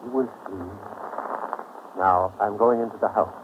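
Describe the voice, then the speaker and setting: An actor playing a domineering, sinister stage mentalist in a deliberate, imperious 1940s radio style. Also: male voice, lowish voice, fairly even intonation, lightly acted. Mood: smooth, sinister. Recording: noisy, tinny 1940s radio recording.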